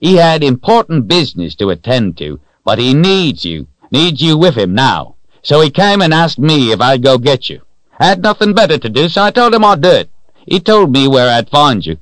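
A jolly old man of the storybook kind. His voice sits high and leaps about as he talks. He is hearty and folksy, with a teasing way. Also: big pitch swings, moderate pace, no room sound, rough recording. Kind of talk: audiobook character voice.

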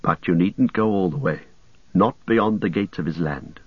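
A grave young hobbit, read by a man speaks quietly and sternly. The voice is low and husky. The recording is dull and dry. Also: moderate pace, no room sound.

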